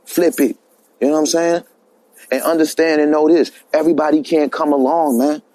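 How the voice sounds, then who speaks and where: A man's voice: a little high, fairly even intonation, brisk, mellow. A man calling in, emphatic and preachy, who says "man" often. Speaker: caller. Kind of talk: casual opinion rant.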